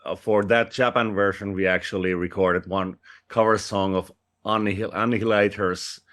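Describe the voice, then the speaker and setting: A man's voice: lowish voice, fairly even intonation, moderate pace, fairly bright tone. A man whose first language is Finnish, a musician guest speaking English with a Finnish accent in a casual, friendly interview with restarts and light laughs. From Finland.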